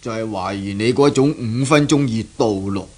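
Husky, low-voiced, indulgent father, played by an actor. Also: normal speed, darkish tone, close to an everyday voice. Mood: sceptical, wry. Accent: Hong Kong Cantonese. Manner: teasing, chuckling, patient. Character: indulgent middle-aged father, wry and gently teasing. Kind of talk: 1970s Cantonese radio drama acting.

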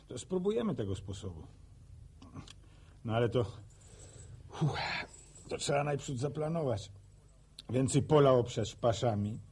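A man's voice: medium pitch, darkish tone, husky. A man playing a good-natured, practical young farmer and older brother in a radio drama, with folksy hesitant phrasing.

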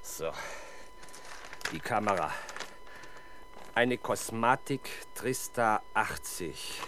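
A man acting as a gruff, impatient, sardonic police inspector in a 1970s German crime radio play. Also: fairly low pitch, lively intonation, drawn-out words, background noise. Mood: brusque. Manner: brusque, sarcastic, demanding.

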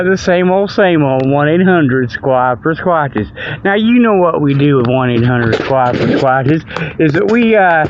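High-voiced folksy man, lo-fi recording. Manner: folksy, rambling, ungrammatical, peppered with "okay" and "you know". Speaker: host of a comedic radio-style show. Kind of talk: rambling mock sponsor read, casual comic monologue. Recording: dull lo-fi audio with background noise.

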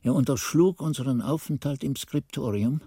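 An actor playing a reflective old monk narrating grim memories in a radio drama, with a husky, mellow voice and literary delivery. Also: male voice, medium pitch, moderate pace, dry recording, clean studio sound, natural voice. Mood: wry, reflective.

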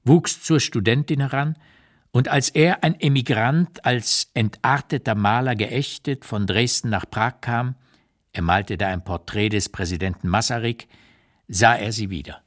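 Man playing old writer guy reading his memoirs for radio, mid pitch, measured phrasing, unsparing and reflective. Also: lightly acted. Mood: reflective, candid. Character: elderly male writer looking back with unsparing candour. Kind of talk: literary reading, scripted narration.

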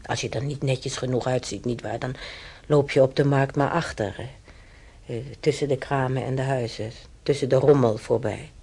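A man's voice: medium pitch, steady tone, moderate pace, dark tone, breathy edge. An actor in a radio play voicing a chatty, stubborn old German-born man who rambles through his memories with hesitations. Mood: musing, chatty. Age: older. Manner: rambling, reminiscing, with hesitations and tag questions. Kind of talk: conversational radio play acting.

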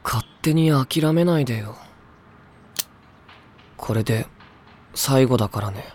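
A man's voice: medium pitch, slow pace, a little mellow, a little hiss or hum, lightly acted. An actor playing a withdrawn, reluctant teenage boy who mumbles sullenly in a Japanese anime audio drama. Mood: weary, reluctant.

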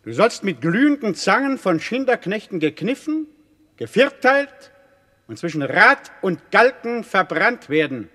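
An actor in a German radio play as a stern, cold ruler passing judgment in a formal, commanding way. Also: male voice, high-pitched, sing-song, measured pace, pushed and bright, heavily acted. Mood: stern, condemning. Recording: long reverb of a stone hall.

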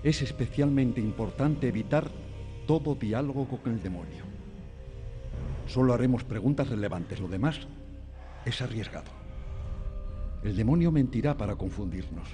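A man acting in a radio drama as a veteran exorcist priest, grave, measured and authoritative. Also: medium pitch, lightly acted. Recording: music bed under the voice.

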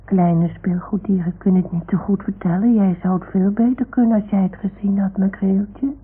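A woman playing a small, timid toy dog who tells stories in a childlike, hushed way in a radio play. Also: mid-range voice, steady tone, normal speed. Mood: frightened wonder. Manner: childlike, breathy hushed storytelling. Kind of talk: 1960s-70s radio play acting. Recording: muffled, hissy old radio recording.

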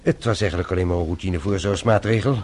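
An actor playing a calm, authoritative French police inspector in a radio play, formal and courteous, using French phrases. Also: male voice, deep voice, fast talker, a little hiss or hum, close to an everyday voice. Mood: explaining. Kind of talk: radio play acting in Dutch.

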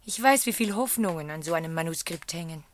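An actress playing a gentle, reserved, sorrowful young woman in a German radio play. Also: low voice, lively intonation, fast talker, slight background noise. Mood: polite, wistful. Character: reserved young runaway wife working as typist, gentle, sorrowful.

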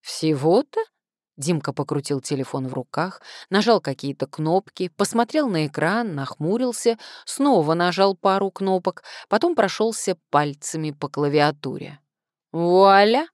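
A woman's voice: fairly low pitch, lively intonation, normal speed, few pauses, a little mellow, no room sound, clean studio sound. A woman reading first-person fiction expressively as an audiobook narrator.

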